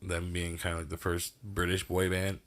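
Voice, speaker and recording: A man's voice: sits low, monotone, normal speed, a bit bright. A man co-hosting a podcast in casual chat, laid-back, swearing and laughing often. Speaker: podcast co-host.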